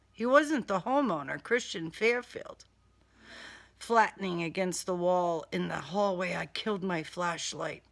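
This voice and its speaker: Slow-paced woman narrating a crime thriller. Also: on the high side, fairly bright tone. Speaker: author reading own novel excerpt. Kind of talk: first-person audiobook narration of a crime thriller.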